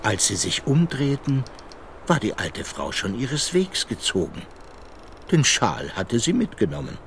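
A man narrating a children's fairy-tale radio play as storyteller, measured and vivid. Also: mid-range voice, sing-song, a bit bright, a little hiss or hum.

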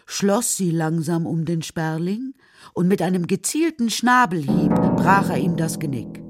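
A woman narrating a children's radio play in a measured, scripted storytelling style. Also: low-pitched.

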